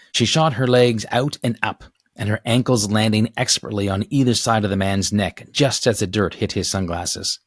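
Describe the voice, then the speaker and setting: Low-voiced man narrating a storybook. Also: no room sound, studio quality. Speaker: author reading own book aloud.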